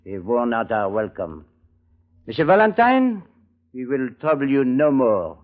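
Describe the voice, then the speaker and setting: Menacing French gangster, tinny old radio. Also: male voice, medium pitch, huge pitch swings, a little slow, slight background noise. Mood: courteous, resigned. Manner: theatrical, formal, threatening. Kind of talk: 1940s radio detective drama acting. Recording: tinny, band-limited old radio recording.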